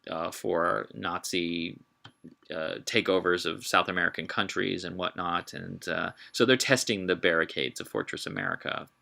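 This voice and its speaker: A man giving an informal history lecture in a halting way, with emphatic, dramatic delivery when quoting. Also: low voice, fairly even intonation, creaky, bright, forceful tone. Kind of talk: informal illustrated public lecture. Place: talk given to a live group.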